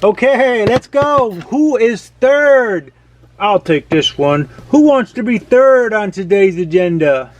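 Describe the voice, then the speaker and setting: A man's voice: sits high, animated, slow. A man playfully announcing a show as host, with a theatrical, grandiose manner. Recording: music behind the voice.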